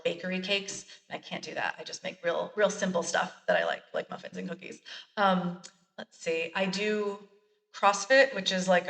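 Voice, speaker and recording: A woman in her thirties, a college librarian chatting as a guest, self-deprecating and quick to laugh. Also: lowish voice, a bit bright, distant mic. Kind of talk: casual interview chat. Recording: echoey room, voice from off the mic.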